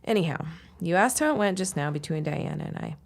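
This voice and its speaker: Casting: sardonic young 1910s woman, lowish and gravelly, stubborn, radio-drama delivery. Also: animated. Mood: exasperated, sardonic. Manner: sardonic, quick-tongued. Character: wry, stubborn young shopkeeper's daughter and out-of-work teacher. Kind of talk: period radio-style audio drama acting.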